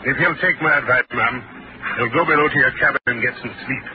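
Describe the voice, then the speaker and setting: An actor playing a harried, brusque sea captain in a 1940s radio drama. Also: male voice, medium pitch, brisk, natural voice. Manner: brusque, impatient. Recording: muffled old radio broadcast with hiss.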